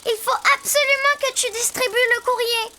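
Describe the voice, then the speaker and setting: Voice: very high voice, fairly even intonation, normal speed. An actor voicing a perky, greedy little animal in a children's cartoon, with an eager, bossy way of talking. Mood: fretful, insistent. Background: children's music.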